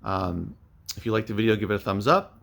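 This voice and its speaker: Low-pitched man rambling through a casual vlog. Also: expressive, brisk, gravelly, fairly bright tone. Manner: rambling, thinking aloud. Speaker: vlogger, online media reseller. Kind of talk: casual unscripted vlog update.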